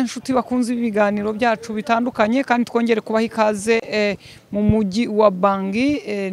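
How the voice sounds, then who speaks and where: A woman news reporter delivering a formal field report in a brisk manner. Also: mid-range voice.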